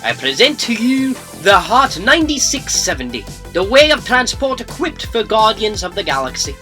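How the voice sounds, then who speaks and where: A performer playing a fussy artificial-intelligence sidekick in a cartoon. The voice is crisp-edged and bright, swinging up and down as it lectures. Music runs faintly underneath. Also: mid-range voice, big pitch swings, normal speed. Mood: brisk, instructive. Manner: precise, lecturing, technical. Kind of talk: comic dub voice acting.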